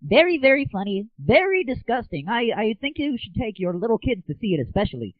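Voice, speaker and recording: A man voicing an excitable, crude midget movie reviewer who whoops with glee in a comedy audio show. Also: high-pitched, brisk, dry recording, highs cut off, voice pushed up. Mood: gleeful, crude.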